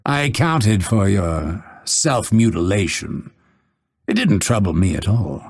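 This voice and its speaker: A proud grim assassin for a video game, husky voice, really swoops around in pitch, theatrical and vengeful. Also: male voice, big pitch swings, heavily acted. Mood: cold contempt. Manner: measured, haughty, menacing, formal diction. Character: unveiled male assassin, cold, proud, grimly vengeful. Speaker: video game character voice actor. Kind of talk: scripted game voice lines, theatrical delivery.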